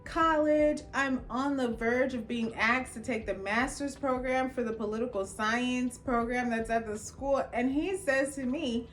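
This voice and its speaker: A young adult woman, a lawyer from Los Angeles whose first language was Jamaican Patois, tells her own story in a casual, expressive way and acts out the lines of people she quotes. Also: high voice, unhurried, few pauses. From Los Angeles, California. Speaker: attorney. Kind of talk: personal storytelling.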